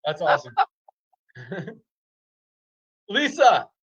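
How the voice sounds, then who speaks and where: A man's voice: high-pitched, sing-song, extremely slow, fairly bright tone, slightly rough. Hyped man on a lo-fi video call. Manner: hyped, repeats figures for emphasis. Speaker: host of a company update call. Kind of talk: upbeat live announcements.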